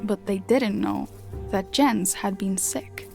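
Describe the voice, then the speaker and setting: A woman voicing a wry captive child in a fairy-tale game, deadpan and resigned. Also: medium pitch, slow pace, gravelly, faint music behind. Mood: matter-of-fact gloom.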